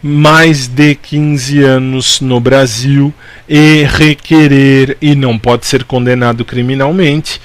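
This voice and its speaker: A man's voice: mid-range voice, steady tone, takes it slowly, dry recording. A Brazilian man giving an informal exam-prep law lecture, repeating key points and answering his own questions in a didactic way. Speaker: law teacher.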